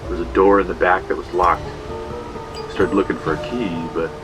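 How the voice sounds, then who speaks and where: An actor playing a young slacker who narrates a grim trespassing tale in a casual, profane, matter-of-fact way. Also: male voice, low voice, steady tone, normal speed, natural voice, poor audio. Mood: brooding, hushed. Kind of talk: first-person audio drama narration. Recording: music bed behind the voice.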